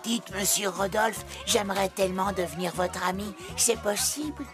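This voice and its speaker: A woman voicing an eager, naive, timid young male hedgehog in a children's cartoon, earnest and excitable. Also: medium pitch, normal speed, natural voice. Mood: shy, admiring. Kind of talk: French children's cartoon dialogue. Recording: music under the voice.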